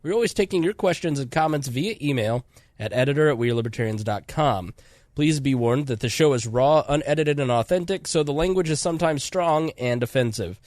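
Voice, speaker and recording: A man's voice: medium pitch, lively intonation, a little fast, darkish tone, no room sound. Congested man hosting a podcast. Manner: rambling, self-interrupting, chatty. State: congested from a cold. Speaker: podcast host. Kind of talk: casual podcast chat.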